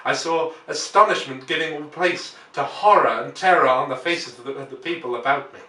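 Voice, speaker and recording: Male audiobook narrator, forceful bright tone, deliberate dramatic delivery, echoey room with the mic set back. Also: on the high side. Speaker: audiobook reader. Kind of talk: dramatic scripted narration of a classic novel. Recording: roomy echo, distant microphone.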